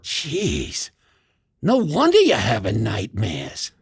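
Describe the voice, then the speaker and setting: A folksy older American cook in a radio drama. His pitch swings dramatically as he talks in a humble, plain-spoken, friendly way. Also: huge pitch swings, slow, gravelly, husky, full character voice. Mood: concerned, curious. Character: folksy, modest male diner cook, army veteran.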